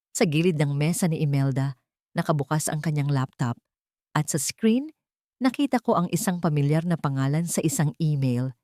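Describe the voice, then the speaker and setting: A woman's voice: fairly low pitch, darkish tone, dry recording, clean studio sound. A woman narrating a first-person audiobook drama in a scripted, dramatic storytelling style.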